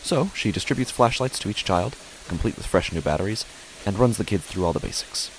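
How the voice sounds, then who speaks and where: Deep-voiced father narrating horror played by a man. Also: animated, a little fast, lightly acted, rough recording. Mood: tense. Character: father narrating his family's uneasy stormy night. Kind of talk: first-person horror story narration. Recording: faint hiss behind the voice.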